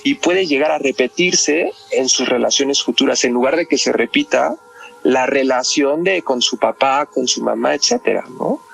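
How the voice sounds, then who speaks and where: Gravelly Mexican man explaining, music behind. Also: mid-range voice, animated, moderate pace, a bit bright. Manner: explains step by step, often adding tag questions. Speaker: psychoanalyst, podcast guest. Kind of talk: explanatory conversation about a crime case.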